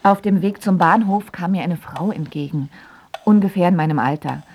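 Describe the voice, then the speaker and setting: A woman's voice: lowish voice, normal speed, background noise, lightly acted. A woman playing a blunt, exasperated young adult daughter smothered by her father, in radio drama dialogue and narration. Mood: wry, narrating. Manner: curt, blunt. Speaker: actress.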